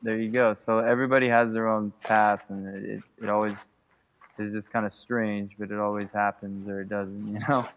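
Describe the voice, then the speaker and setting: A man's voice: on the low side, flat delivery, normal speed, clean tone. A young man rambling as a guest on a casual podcast interview, packing his talk with fillers and going off on tangents. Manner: rambling, full of "like", chuckling.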